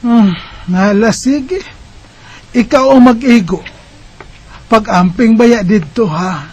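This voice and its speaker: An actress playing a meddling family confidante in a radio drama, with a Filipino Bisaya accent. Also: female voice, a little high, slow pace, a little mellow, muffled recording, a little hiss or hum. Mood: concerned.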